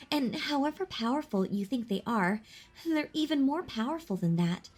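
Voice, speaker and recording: A woman playing a possessive, teasing vampire in a roleplay audio drama, with a mocking, condescending manner and a breathy, intimate delivery. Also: high voice, a little mellow, full character voice. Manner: mocking, condescending, slow and deliberate. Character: possessive, teasing female vampire toying with her prey.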